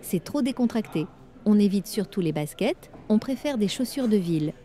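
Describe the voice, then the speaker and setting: A woman reading everyday French dialogues for learners, with clear, careful scripted delivery. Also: medium pitch, animated, moderate pace, warm tone, natural voice.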